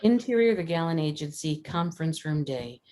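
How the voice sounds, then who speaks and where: A woman with a fairly low voice narrates the action of a screenplay. She delivers scene headings and descriptions as scripted narration for a table read. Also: lively intonation, moderate pace, warm tone. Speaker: stage directions reader at a script table read.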